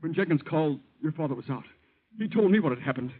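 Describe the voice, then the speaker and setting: An actor playing a guilt-ridden, earnest young doctor with an American accent, husky and emotional in old-time radio style. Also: male voice, on the high side, animated. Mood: guilty, anguished. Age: young adult. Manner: halting, emotional. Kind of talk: 1940s radio acting. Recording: muffled old radio recording.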